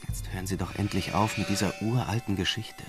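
Deep-voiced, condescending schemer. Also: male voice, steady tone, fairly quick, over music. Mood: indignant. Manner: condescending, mock-polite. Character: arrogant, cold-blooded male far-right schemer, condescending and menacing. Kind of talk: naturalistic radio drama acting.

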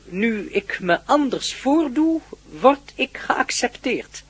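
Husky, very high-pitched alien being. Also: male voice, very animated, a bit bright, exaggerated voice. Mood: calm, explanatory. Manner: formal, measured, matter-of-fact. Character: polite, candid alien being observing humankind. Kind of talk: radio play acting.

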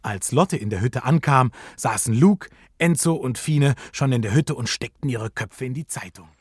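A man's voice: medium pitch, lively intonation, normal speed, slightly rough, dry recording, studio quality. A man narrating a children's detective radio play in a suspenseful, dramatic storytelling manner.

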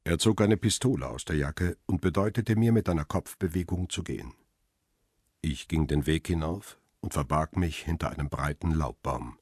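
An actor playing a photographer who tells his own tense story in a radio crime drama, measured and descriptive, with a husky voice. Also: extremely low pitch, a little mellow, dry recording, clean studio sound. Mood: tense, restrained. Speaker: narrator and protagonist.

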